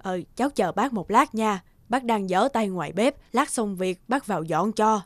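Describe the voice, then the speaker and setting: A woman's voice: mid-range voice, expressive, moderate pace, dry recording, clean studio sound, lightly acted. A woman playing a meek, kindly older housemaid in a cartoon, speaking hesitantly and deferentially. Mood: hesitant, yielding. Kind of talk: cartoon dubbing.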